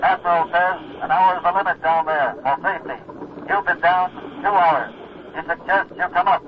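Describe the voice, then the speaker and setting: Male, 1940s radio drama: actor as dutiful deck sailor, crisp formal repeats, raised pitch, dull noisy recording. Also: steady tone, moderate pace, natural voice. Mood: formal, concerned. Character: dutiful male Navy crewman relaying orders topside.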